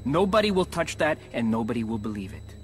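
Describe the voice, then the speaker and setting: An actor playing a corrupt, contemptuous police lieutenant in a crime game, with a sneering, threatening manner. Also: male voice, medium pitch, sing-song, a little fast, faint music behind, close to an everyday voice.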